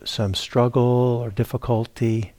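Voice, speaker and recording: A man teaching a guided meditation, speaking in a halting, reflective way as he searches for words. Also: medium pitch, steady tone, mellow, no room sound. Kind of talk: guided meditation talk, unscripted. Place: meditation retreat center, indoors.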